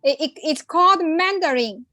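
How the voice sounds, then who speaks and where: A woman from China, a native Chinese speaker, talking in English in a casual group chat, halting and searching for words with many fillers. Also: high-pitched, expressive, sharp tone, dry recording, studio quality. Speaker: participant.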